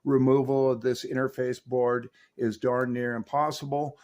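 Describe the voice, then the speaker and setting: A man's voice: mid-range voice, level tone, normal speed, darkish tone. A man narrating a technical review and repair guide in an emphatic, opinionated, folksy way. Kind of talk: explanatory voice-over for a technical review.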